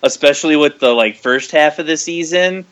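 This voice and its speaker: A man's voice: on the high side, normal speed, fairly bright tone. A young man co-hosting a fan podcast, chatting casually and teasing himself with dry jokes. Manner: joking, self-deprecating. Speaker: podcast host.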